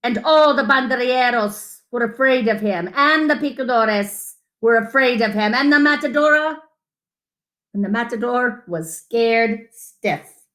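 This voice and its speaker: A woman's voice: a little high, a little slow, fairly bright tone. A woman reading a picture book aloud to children as a storyteller, with dramatic emphasis and asides to her listeners.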